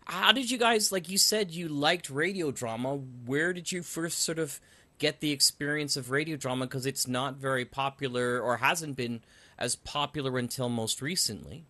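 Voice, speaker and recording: A man's voice: mid-range voice, moderate pace, pushed and bright. A man hosting an interview podcast, introducing guests and putting questions to them. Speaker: interview host.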